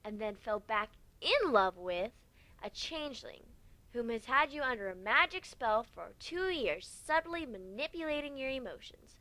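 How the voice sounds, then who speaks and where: A man voicing a skeptical, measured female pony princess in a story reading. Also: very high voice, expressive, pushed and bright, no echo, pitched above the performer's own voice, heavily acted, low-quality recording. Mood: incredulous. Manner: incredulous, measured.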